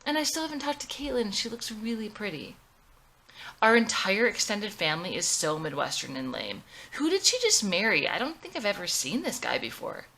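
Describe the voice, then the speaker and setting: Woman putting on a snobbish, animated young woman. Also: medium pitch, normal speed, full character voice. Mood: snide gossip. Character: snobbish, critical, fussy young woman wedding guest.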